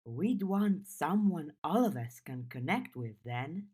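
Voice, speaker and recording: A woman voicing a gentle, thoughtful, soft-spoken teenage girl in an audiobook. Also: low-pitched, animated, slow, slightly rough, heavily acted. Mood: curious. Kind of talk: audiobook character dialogue.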